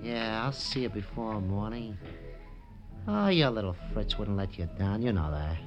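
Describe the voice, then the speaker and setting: An actor playing a shifty, cowardly little American crook in a 1950s radio drama, wheedling and excitable. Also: male voice, on the low side, a little slow, lo-fi sound. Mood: smug, sweet-talking. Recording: vintage radio recording with faint music.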